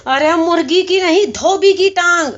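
A man's voice: extremely high pitch, a bit bright, dull audio, voice pushed up. A woman voicing an exasperated male petitioner in a story, insistently raising the voice and repeating words to be understood. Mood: furious, emphatic.

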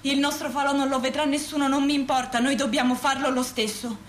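Actress as defiant woman in her twenties, high voice, emphatic theatrical declamation, echoey room, faint noise. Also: little pitch movement, fairly quick, lightly acted. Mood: defiant, anguished. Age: 20s. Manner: emphatic, rhetorical. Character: defiant young working woman confronting workplace deaths. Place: live stage performance in a roomy space.

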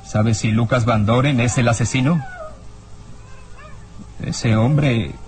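An actor playing a hard-nosed, uneasy police inspector in a radio serial, with a theatrical, menacing way of questioning. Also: male voice, medium pitch, fairly even intonation, slow, a little mellow, lightly acted. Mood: mocking, threatening. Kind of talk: old radio serial acting. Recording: radio drama with music underneath.

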